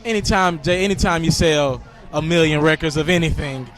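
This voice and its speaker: A man's voice: a little high, a little fast, fairly bright tone. A man hosting an interview, eager and constantly affirming his guest. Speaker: interview host. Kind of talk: casual interview, show promo.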